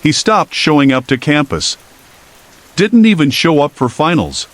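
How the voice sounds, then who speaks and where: Guy reading scary stories, lively pitch, rain pattering in the background. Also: unhurried. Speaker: horror story narrator. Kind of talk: scripted narration. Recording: rain sounds behind the voice. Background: steady rain.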